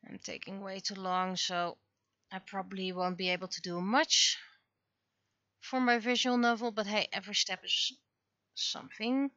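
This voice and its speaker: A woman chatting casually on a livestream as she works, thinking aloud in short, halting phrases. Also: medium pitch, fairly even intonation, bright, forceful tone, no echo, highs cut off. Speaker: livestreamer and webcomic artist. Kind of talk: casual livestream chat while working.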